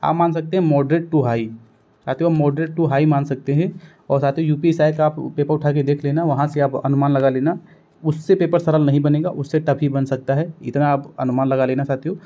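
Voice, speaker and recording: A man's voice: on the high side, fairly even intonation, brisk, dark tone. A man from northern India giving an informal, instructive exam-coaching lesson, often addressing his listeners directly as friends. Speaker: exam-coaching teacher and channel host. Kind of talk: informal strategy lesson to students. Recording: dull, lo-fi sound.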